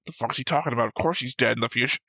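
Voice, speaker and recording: A man's voice: medium pitch, rapid-fire, gravelly, bright, forceful tone, dry recording. A man co-hosting a reaction show, chatting casually about a series in a flippant, argumentative way. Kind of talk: casual discussion of an anime series.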